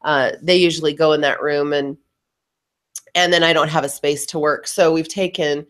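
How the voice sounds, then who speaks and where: A middle-aged woman with a low voice, deepened by allergies, talks as a podcast co-host. She chats informally and puts emphasis on what she feels. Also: fairly even intonation, moderate pace. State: voice lowered by allergies. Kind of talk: casual chat.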